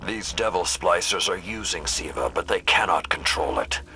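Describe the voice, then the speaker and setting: A man playing a wise, grave veteran warrior lord with formal, measured diction in a video game. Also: low-pitched, a little hiss or hum. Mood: grave, foreboding. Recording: very loud music over the voice.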